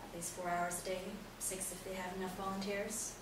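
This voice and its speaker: A woman's voice: lowish voice, flat delivery, fairly quick, natural voice. A woman playing a practical, caring mother in a stage play reading, plain and matter-of-fact. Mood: caring, businesslike. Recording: roomy echo, distant mic, noisy.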